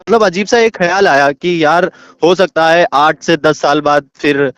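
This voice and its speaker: A man with a slightly high voice talks as a caller, respectful and hesitant in his phrasing. The recording is close and dry. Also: a bit bright, no echo. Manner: deferential, halting. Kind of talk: casual phone chat.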